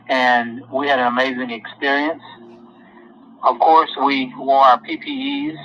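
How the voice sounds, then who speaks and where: Man, late 50s, voter testimonial, upbeat, friendly, slightly muffled audio. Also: mid-range voice. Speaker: voter interviewee. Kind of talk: recorded testimonial.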